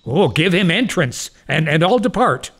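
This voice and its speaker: Farce role for a man: pompous mad doctor, gravelly, lively swooping pitch, suspicious and blustering. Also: normal speed, exaggerated voice. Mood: amused. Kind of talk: farcical period audio drama acting.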